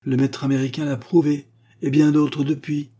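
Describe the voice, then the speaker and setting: A man's voice: on the high side, level tone, normal speed, dark tone, pitched above the performer's own voice, natural voice. Man putting on a peevish ancient mummy. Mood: irritated. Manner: scornful, peevish scolding. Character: irritable ancient Egyptian male mummy, haughty and insulting.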